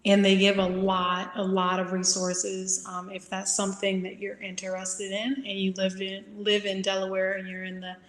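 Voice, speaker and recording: Midwestern lady guest on a webinar, flat even voice, lots of ums, echoey video call. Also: mid-range voice, moderate pace, hardly any pauses. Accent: Midwestern American. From American Midwest. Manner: relaxed, informal with many fillers. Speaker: guest speaker. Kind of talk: webinar talk. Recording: roomy video-call audio.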